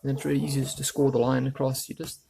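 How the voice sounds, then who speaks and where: A man with a gravelly voice explaining a woodworking job casually, thinking aloud as he works. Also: medium pitch, completely flat delivery, rapid-fire, background noise. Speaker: DIY woodworking video host. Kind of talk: casual hands-on tutorial talk.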